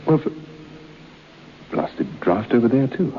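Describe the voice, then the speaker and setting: A man's voice: mid-range voice, very animated, slow pace. An actor playing a skeptical, puzzled man in a radio ghost play, muttering to himself. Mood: mildly bothered. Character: skeptical, puzzled man alone in haunted room. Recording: dull, noisy old radio drama recording.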